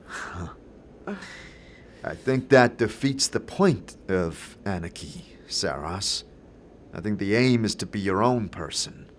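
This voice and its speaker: A man's voice: on the low side, animated, slow pace, a little hiss or hum. A man playing a blunt adventurer turned investigator in a fantasy audio drama, direct and firm in his questioning. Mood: weary, admonishing.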